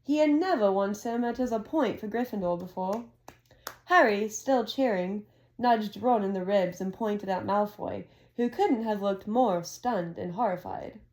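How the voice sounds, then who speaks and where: A woman reading a storybook aloud to her child, moving along briskly and gently coaxing between passages. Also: mid-range voice.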